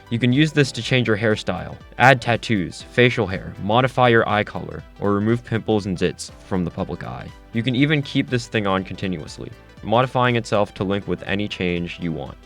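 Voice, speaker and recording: Low-voiced man acting a slick tech pitchman. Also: creaky, fairly bright tone. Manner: salesmanlike, rhetorical, instructive. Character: slick male tech company head engineer pitching a gadget. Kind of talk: scripted infomercial presentation.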